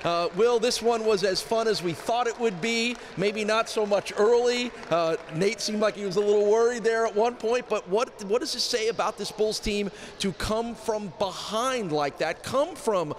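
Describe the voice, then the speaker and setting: A man's voice: high-pitched, moderate pace, few pauses, a little hiss or hum. A middle-aged man hosting a post-game sports broadcast, interviewing on a handheld microphone courtside. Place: courtside in a large basketball arena. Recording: echoey arena, music behind.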